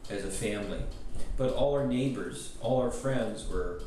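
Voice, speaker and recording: A middle-aged man whose first language is Pennsylvania Dutch, calmly recounting his life in an interview, pausing often as he thinks. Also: lowish voice, slight background noise. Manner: slow, thoughtful, pausing often. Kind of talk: reflective personal account. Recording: very echoey room, distant mic.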